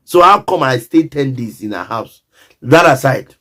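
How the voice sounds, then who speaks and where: A man's voice: mid-range voice, lively intonation, normal speed, sharp tone. A Nigerian man telling a personal story in a casual monologue, emphatic and repetitive, often speaking straight to the listener.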